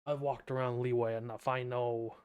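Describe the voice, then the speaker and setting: A woman streamer giving casual, offhand game commentary in a halting, amused way. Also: a bass voice, little pitch movement, darkish tone.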